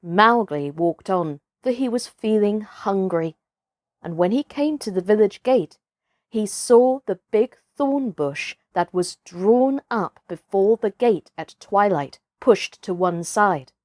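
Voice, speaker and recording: A woman narrates a children's tale in a dramatic, deliberate way. Her pitch moves in an animated way and she takes her time over the lines. The recording is close and dry. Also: no room sound. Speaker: storyteller and host of a children's storytime. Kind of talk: expressive children's audiobook narration.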